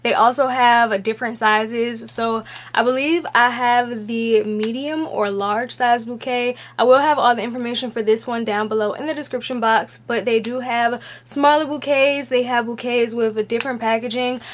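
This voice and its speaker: A woman's voice: fairly high pitch, fairly even intonation, moderate pace. A young American woman chatting casually to her viewers as a lifestyle vlogger, with a slangy, familiar way of talking. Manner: slangy, familiar, addresses viewers as besties and girl.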